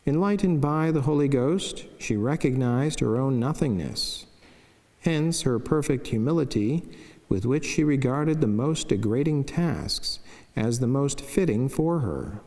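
A middle-aged man, a friar, solemnly reading a scripted devotional text in a measured way at a chapel lectern. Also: medium pitch, animated, dark tone. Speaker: Franciscan friar and priest. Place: wood-panelled chapel, indoors. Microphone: lectern microphone. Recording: long church reverb.

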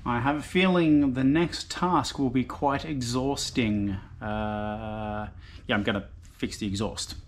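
A man's voice: mid-range voice, slow pace, a little hiss or hum. Easygoing man narrating workshop build. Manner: relaxed, rambling, filler-heavy, self-deprecating. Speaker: motorbike restoration vlogger. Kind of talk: casual workshop voice-over narration.

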